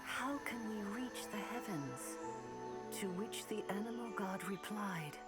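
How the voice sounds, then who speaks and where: A woman playing a solemn, measured storyteller reading an old fable in a video game. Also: mid-range voice, animated, over loud music, lightly acted. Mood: solemn storytelling.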